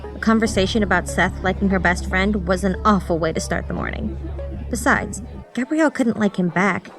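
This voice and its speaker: A woman's voice: medium pitch, moderate pace. A woman reading scripted story narration as an audiobook narrator, with background music. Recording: music behind the voice.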